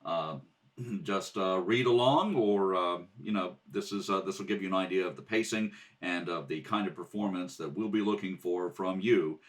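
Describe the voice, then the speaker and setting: A man's voice: low-pitched, normal speed. A man giving informal rehearsal instructions in a casual, hesitant way, recorded at home. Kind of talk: informal spoken introduction. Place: kitchen table at home. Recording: distant home recording at kitchen table.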